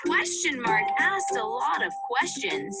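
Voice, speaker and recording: High, very animated woman storybook narrator. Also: measured pace, gravelly, over loud music. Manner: expressive. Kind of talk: scripted children's read-aloud narration.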